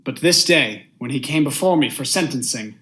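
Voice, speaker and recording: A man's voice: mid-range voice, lively intonation, normal speed, heavy background noise, lightly acted. A young man acting a stern judge and narrator in a vintage radio drama, speaking formally and with measure. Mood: brooding. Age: young adult. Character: stern, unmoved male judge, husband and brooding narrator.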